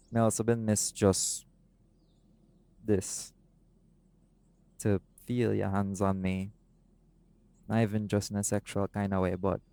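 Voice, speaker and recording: A man voicing a doting, laid-back seagull shifter boyfriend in an intimate audio roleplay, speaking softly and affectionately. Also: lowish voice, dry recording. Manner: soft-spoken, affectionate, creole-inflected speech.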